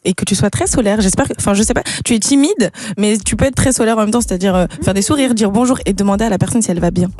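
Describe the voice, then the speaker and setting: A woman's voice: medium pitch, rapid-fire, hardly any pauses, darkish tone. A woman on a radio show giving confident dating advice. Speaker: guest. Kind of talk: casual radio chat.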